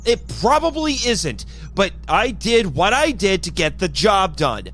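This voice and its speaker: A man playing an exasperated, scolding yet contrite team leader in a fan audio drama, speaking expressively and haltingly. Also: high-pitched, full character voice. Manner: expressive, sighing, hesitant. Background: background music.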